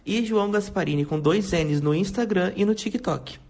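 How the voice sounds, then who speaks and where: A man's voice: high voice, moderate pace, gravelly, darkish tone. A man from Paraná with a Brazilian accent, introducing himself eagerly as a podcast co-host. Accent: Brazilian Portuguese. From Londrina, Paraná, Brazil.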